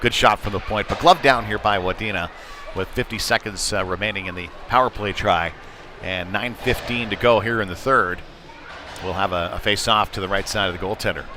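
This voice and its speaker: A man's voice: lowish voice, fairly quick. A man giving live play-by-play hockey commentary in clipped phrases with conversational asides. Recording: noisy rink ambience behind live commentary. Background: sports venue noise.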